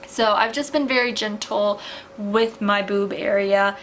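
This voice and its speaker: A woman's voice: mid-range voice, steady tone, unhurried, fairly bright tone, slight background noise, rough recording. A young woman vlogging in a casual, rambling way, full of "like" and "um", her voice tiring from talking. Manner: rambling, many filler "like"s and "um"s. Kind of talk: casual chat to camera.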